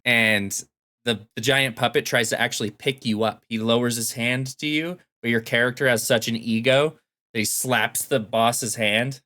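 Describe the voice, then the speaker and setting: A man's voice: medium pitch, steady tone, moderate pace, sharp tone. A man talking casually as a podcast host, recounting things in everyday conversational language. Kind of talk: casual storytelling chat about games.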